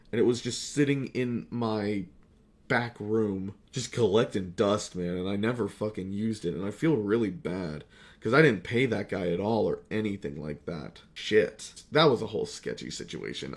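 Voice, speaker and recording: A man's voice: mid-range voice, darkish tone. A young adult man rambling casually to viewers, profane, sarcastic and self-deprecating, stretching words for emphasis. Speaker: YouTuber and fan-film creator.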